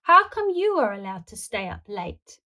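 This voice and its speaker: A woman's voice: mid-range voice, sing-song, normal speed, bright, forceful tone. A woman voicing a cheeky, defiant young boy who whines and protests, in a children's storybook. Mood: cheeky, protesting. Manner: whiny, protesting.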